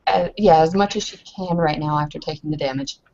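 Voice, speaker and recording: A woman with a lowish voice chats as a player in a roleplaying game, casually talking through her character's moves. The sound is dull and lo-fi. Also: brisk, mellow. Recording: dull lo-fi voice chat audio.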